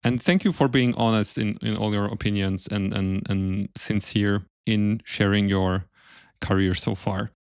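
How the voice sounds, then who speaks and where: A man hosting an interview podcast, speaking in a polite, measured way with formal phrasing. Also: lowish voice, steady tone, clean tone, dry recording, studio quality. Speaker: podcast host. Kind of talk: interview, then podcast sign-off.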